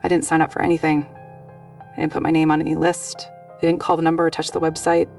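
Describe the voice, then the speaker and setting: Anxious, defensive young storyteller, voiced by a narrator, very fast, flat pitch, distant over music. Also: gravelly, lightly acted. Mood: uneasy, defensive. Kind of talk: dramatized first-person quote in horror narration.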